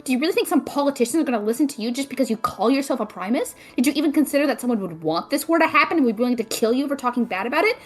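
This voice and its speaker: Actress as a wry, protective young woman in an audio drama, swinging pitch, challenging delivery. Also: animated, rapid-fire, hardly any pauses. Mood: sarcastic, worried. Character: skeptical, protective, sardonic young woman adventurer. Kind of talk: scripted audio drama acting.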